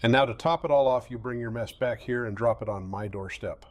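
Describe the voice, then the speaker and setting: A man's voice: medium pitch, a little fast, no echo, natural voice. A man playing a self-important, cowardly settlement leader in an audio drama, pompous and sarcastic. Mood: scornful, indignant. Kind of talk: full-cast audio drama acting.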